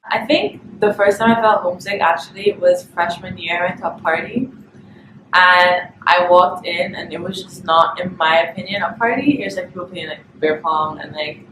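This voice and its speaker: A woman's voice: fairly low pitch, normal speed. A young woman from Barbados, chatting about home as an international student and filling her talk with "like". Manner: chatty, fills speech with "like". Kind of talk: documentary interview. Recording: echoey room with clear noise.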